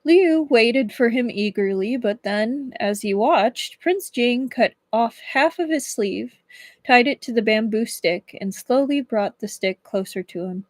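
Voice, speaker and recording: A woman livestreaming as a book reader, with expressive storybook narration and casual chat. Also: fairly high pitch, a little slow, dry recording.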